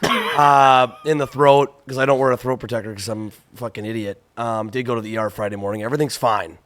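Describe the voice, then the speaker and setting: A tired man with a hoarse voice, chatting casually as a journalist co-host on a sports podcast. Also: medium pitch, steady tone, fairly quick, a bit bright, slight background noise. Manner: casual, rambling with fillers.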